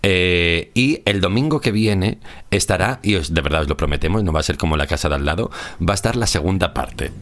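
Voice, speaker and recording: A man's voice: deep voice, a little fast, a bit bright. A man with a Castilian Spanish accent co-hosting a podcast in casual, unscripted chat, explaining things in a colloquial, roundabout way. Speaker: podcast co-host. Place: recording studio.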